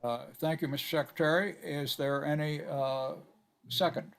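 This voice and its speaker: Older guy chairing a video call meeting, gravelly voice, lots of uhs. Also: a little high, fairly even intonation, a bit bright. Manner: halting, many filler uhs, courteous. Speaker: board chairman.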